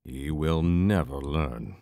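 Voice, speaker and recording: An actor playing a steady, protective male adventurer in a fantasy audio drama, measured and formal. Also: rumbling low, gravelly, dry recording, clean studio sound, heavily acted. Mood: concerned.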